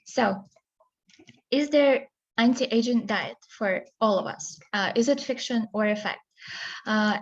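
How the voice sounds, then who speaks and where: A woman from Kazakhstan giving a nutrition research presentation, speaking carefully and haltingly with many fillers. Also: mid-range voice, highs cut off.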